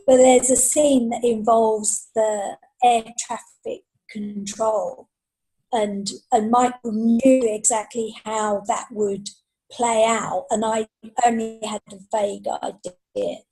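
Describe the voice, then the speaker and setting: A woman chatting casually and unscripted as a podcast guest author, hesitant and self-effacing, with a slightly husky voice. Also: a little high, fairly even intonation, slow, mellow, low-quality recording. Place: remote group video call.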